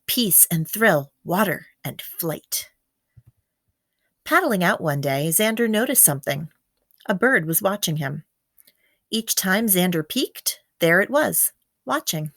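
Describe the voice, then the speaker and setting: A woman with a lowish voice reads a picture book aloud in a close, dry recording. Her storytelling is expressive, and she lingers on key words for emphasis. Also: no room sound. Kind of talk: expressive children's storybook narration.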